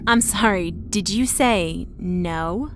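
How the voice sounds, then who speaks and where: A woman's voice: mid-range voice, slow pace, noisy background, natural voice. A woman playing a cold, deadly henchwoman in an audio horror drama, terse and coolly threatening.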